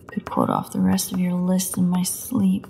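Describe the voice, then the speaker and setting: Gravelly, sarcastic captive vampire woman, acted by a woman. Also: lowish voice, huge pitch swings, moderate pace, warm tone, a little hiss or hum, full character voice. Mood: bored taunting. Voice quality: gravelly, creaky voice. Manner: snarky, mocking, bitterly flippant. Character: defiant, sarcastic captive female vampire under interrogation. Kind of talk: dramatic audio roleplay monologue.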